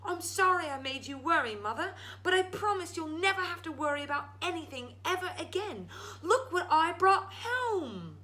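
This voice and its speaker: A woman's voice: very high-pitched, sing-song, moderate pace, pushed and bright, pitched above the performer's own voice, full character voice. A woman voicing an eager, frantic young boy in a storybook, urgent and earnest. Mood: earnest, excited. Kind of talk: storybook read-aloud.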